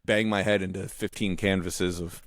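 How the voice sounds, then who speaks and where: A man's voice: low-pitched, moderate pace, no room sound, clean studio sound. A man in his forties chatting casually as a podcast co-host, rambling and restarting his sentences as he tells stories, with some casual swearing. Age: 40s. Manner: rambling, self-interrupting, animated storytelling; casually profane. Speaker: visual artist.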